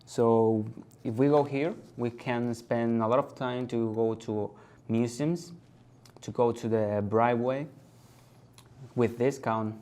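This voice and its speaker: An eighteen-year-old man talks through an English speaking test. He pauses and restarts often while searching for the right words. His voice is warm and mid-pitched. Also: fairly even intonation, clear, resonant tone. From Venezuela. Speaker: test candidate. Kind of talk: exam conversation.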